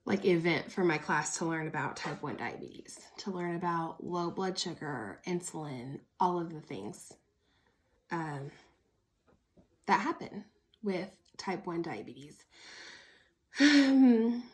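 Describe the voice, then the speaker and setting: A woman's voice: on the low side, expressive, slow pace. Chatty American woman in her early thirties. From Oklahoma, United States. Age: early 30s. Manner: chatty, candid, informal. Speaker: vlogger. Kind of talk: casual personal storytelling to camera.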